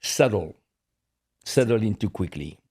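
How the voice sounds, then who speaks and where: A man's voice: on the low side, expressive, a little slow, a little mellow, husky. An older man from France with a French accent, speaking frankly as a podcast co-host and repeating words as he searches for English. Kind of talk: casual chat.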